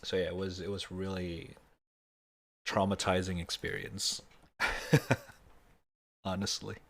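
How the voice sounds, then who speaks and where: Young male streamer, deep voice, loose rambling chat with casual swearing. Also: flat delivery, slow pace, creaky, a bit bright. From Indonesia. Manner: rambling, laid-back, swears casually, chuckles often.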